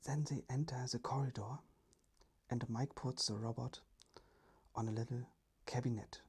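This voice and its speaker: A storyteller reading a children's story in a hushed, careful ASMR whisper. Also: medium pitch, steady tone, moderate pace, slightly rough.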